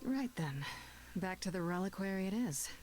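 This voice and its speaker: A woman's voice: medium pitch, lively intonation, normal speed, darkish tone, a little hiss or hum, lightly acted. A woman playing an earnest, uncertain young heroine with dry wit in a video game. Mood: resigned.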